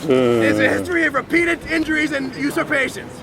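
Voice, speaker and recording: A man giving a loud, dramatic, emphatic reading of a historical document outdoors, with deliberate phrasing. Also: very high voice, very animated, vocal fry. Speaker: reader at an outdoor public reading. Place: outdoors on a lakeside beach. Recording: outdoor crowd and motorboat noise.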